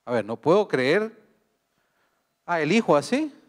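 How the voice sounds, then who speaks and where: A man with a Colombian accent giving a university lecture, speaking slowly and deliberately and putting questions to the audience. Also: on the high side, very animated. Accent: Colombian Spanish. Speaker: guest speaker. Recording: long hall reverb.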